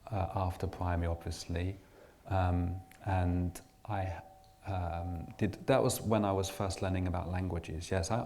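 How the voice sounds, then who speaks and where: A man's voice: sits low, a little mellow, a little hiss or hum. A middle-aged man from England giving a reflective personal talk to an audience, often restarting his sentences. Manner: reflective, with frequent restarts and fillers. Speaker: invited speaker.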